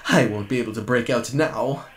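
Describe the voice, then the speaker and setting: A woman's voice: rumbling low, a bit bright, a little hiss or hum, heavily acted. A woman voicing a vain, distressed male robot celebrity in jail, with theatrical, emotional delivery, for a comic dub.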